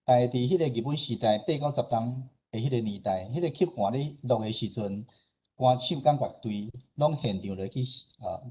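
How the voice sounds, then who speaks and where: A man's voice: mid-range voice, moderate pace, warm tone, husky. A man with a Taiwanese accent presenting in a conversational, explanatory way, often ending phrases with small agreement-seeking particles. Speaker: radio station director and host presenting a talk. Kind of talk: informal slide presentation.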